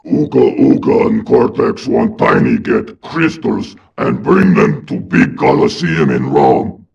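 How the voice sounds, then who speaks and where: A man voicing a big dim-witted brute of a video game minion, with gravelly, shouty, broken simple-minded speech. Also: on the low side, wildly swooping pitch, slow pace, heavily acted. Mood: menacing, bellowing. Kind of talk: video game cutscene voice acting. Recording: dull lo-fi game audio with music.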